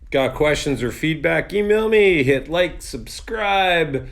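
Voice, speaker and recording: A man's voice: a little high, expressive, takes it slowly, vocal fry, a bit bright, no room sound, studio quality. A middle-aged man talking casually to viewers in a rambling, conversational outdoor vlog. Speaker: vlog host, fiction creator. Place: outdoors on a forest hiking trail.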